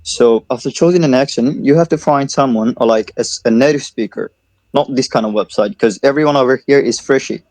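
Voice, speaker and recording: A man's voice: medium pitch, a little fast, darkish tone, dry recording. A man giving casual advice on an online voice call, often repeating his words. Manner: repeats words while advising.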